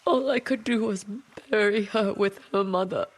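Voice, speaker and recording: An actress playing a proud, grieving old noblewoman warrior in a video game, grave, formal and deliberate. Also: mid-range voice, natural voice. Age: older. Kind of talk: dramatic video game cutscene acting.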